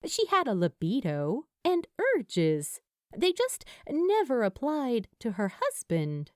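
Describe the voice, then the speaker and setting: A woman reading scripted fiction as a storyteller, in an expressive way. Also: on the high side, takes it slowly, no room sound, clean studio sound. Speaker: audiobook-style fan fiction narrator.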